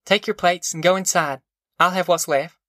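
An anxious, poor country mother, as an audiobook narrator acts her out, pitched well above the narration. The rural Southern American accent is folksy and plain, with a pleading, worn-down manner. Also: fairly even intonation, moderate pace, pushed and bright, no echo, clean studio sound, lightly acted. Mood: helpless, pleading.

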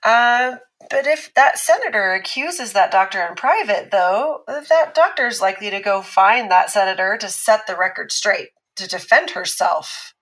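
An actress playing a calm, analytical woman expert who explains patiently and teases a little. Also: mid-range voice, rough recording. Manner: measured, explanatory, lightly teasing. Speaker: expert on abuse recovery. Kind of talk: scripted podcast drama dialogue.

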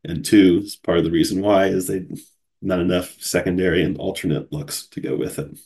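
Man, podcast host, from Cincinnati, gravelly low voice, groggy from a cold, easygoing solo chat. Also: steady tone, normal speed, a little mellow. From Cincinnati, USA. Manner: casual, chatty, easygoing.

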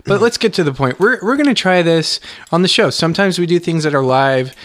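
A man's voice: on the high side, expressive, a little fast, fairly bright tone. A young man co-hosting a casual podcast, playful and teasing, often laughing. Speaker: podcast co-host. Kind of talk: casual chat.

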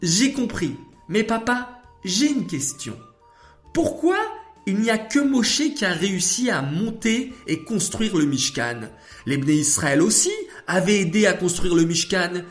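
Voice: medium pitch, expressive, unhurried, faint music behind, voice pushed up, lightly acted. A storyteller voicing a curious, enthusiastic little girl who talks eagerly to her papa. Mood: inquisitive. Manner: eager, exclaiming, astonished questions.

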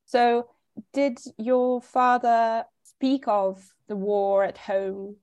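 A woman conducts an oral history interview. She asks her questions politely and deliberately. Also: a little high, little pitch movement, a little mellow, dull audio.

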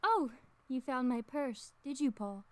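A woman playing an eerily sweet, seductive wife in a radio horror play, cooing softly and beguilingly. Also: high-pitched, animated, unhurried. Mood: cooing seduction. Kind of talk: old-time radio horror acting.

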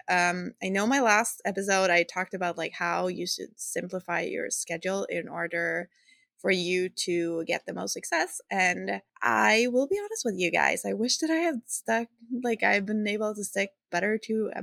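A woman's voice: mid-range voice, creaky, fairly bright tone, no echo. A woman with a Swedish accent, a non-native English speaker, hosting a casual solo podcast in an informal, hesitant way, searching for words. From Sweden. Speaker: podcast host and fitness coach.

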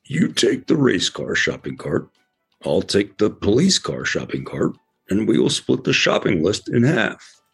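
A man voicing a sensible, patient male elephant in a children's cartoon, explaining things calmly. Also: on the low side, measured pace, vocal fry, breathy edge, soft background music, heavily acted. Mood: bargaining. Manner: patient, explaining, mildly fussy.

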